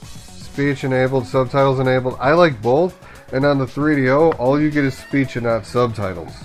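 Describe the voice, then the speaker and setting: A man's voice: mid-range voice, normal speed. Casual man narrating a setup walkthrough, faint music. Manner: informal, thinking aloud. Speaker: tutorial host. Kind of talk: casual step-by-step software walkthrough.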